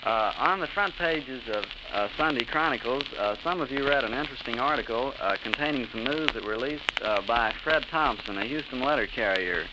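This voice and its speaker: A polite American man hosts a radio interview in the manner of the 1930s. He speaks haltingly at a medium pitch. The old broadcast recording is buried in heavy crackle and hiss. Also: animated.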